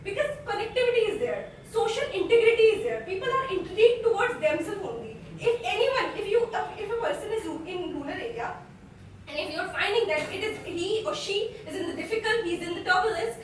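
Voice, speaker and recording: A woman's voice: extremely high pitch, animated, a little fast, slight background noise. A young woman in her twenties from Uttar Pradesh with an Indian English accent, giving an earnest debate speech in a classroom and restarting her phrases often. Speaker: student debater. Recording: echoey room, picked up from far off.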